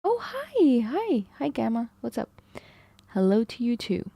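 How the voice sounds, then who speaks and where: Woman streamer, slow and musing, gravelly and mellow, dry close sound, slightly worn voice. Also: fairly high pitch, very animated, dry recording. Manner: talks to chat, muses and second-guesses herself. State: feels her voice is going. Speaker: live streamer. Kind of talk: thinking aloud while solving math problems.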